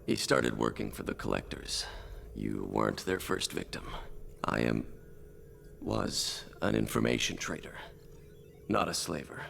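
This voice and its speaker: An actor playing a weary, dry alien ex-information trader in a video game, with a gravelly rasp and clipped delivery. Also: male voice, low voice, sing-song, measured pace, fairly bright tone, slight background noise, exaggerated voice. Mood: dry, guarded. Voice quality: gravelly, rasping.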